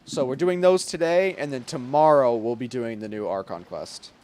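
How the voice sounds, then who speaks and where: A man's voice: mid-range voice, normal speed, a little mellow, slight background noise. A man streaming a game, giving informal, slangy reaction commentary in a casual chat style. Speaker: gaming streamer. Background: game music.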